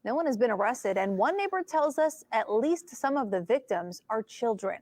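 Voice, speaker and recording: A woman TV news anchor reading a scripted crime report in a crisp, formal way. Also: fairly high pitch, expressive, moderate pace, darkish tone.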